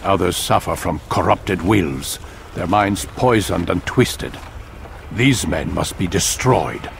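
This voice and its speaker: An actor playing a stern older master of assassins in a video game, measured, formal and authoritative. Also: male voice, sits low, expressive, vocal fry, a little hiss or hum, heavily acted. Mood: grave, instructing. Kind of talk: dramatic video game acting.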